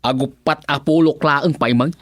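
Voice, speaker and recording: A man's voice: on the high side, fairly even intonation, moderate pace, a bit bright, close to an everyday voice. A man playing a simple, jolly bachelor gardener in an Ilocano comedy radio drama, with chuckling, humming, singsong comic talk. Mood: teasing. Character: simple, jolly bachelor gardener fussing over his plants.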